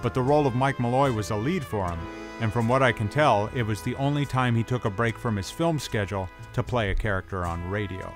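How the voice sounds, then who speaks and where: A man's voice: medium pitch, brisk, music in the background. A middle-aged man hosting as a film expert, delivering scripted documentary narration with colloquial, hardboiled slang. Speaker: host, tough guy film expert.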